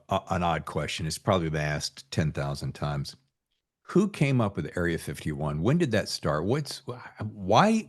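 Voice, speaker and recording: A man hosting a casual interview podcast, chatting and musing conversationally with his guest. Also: low voice. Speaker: podcast host.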